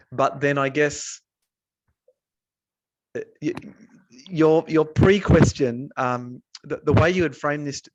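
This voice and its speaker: A man from Australia talking casually in a webinar, pausing often with fillers and restarts, speaking from an office building. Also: medium pitch, no echo, highs cut off. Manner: hesitant, many restarts and fillers. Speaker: researcher.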